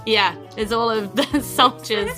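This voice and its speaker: Kiwi lady running the game, bright voice, laughs a lot. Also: a little high, a little slow, soft background music. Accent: New Zealand. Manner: laughing, chatty. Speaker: game master. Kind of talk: narrating a tabletop game.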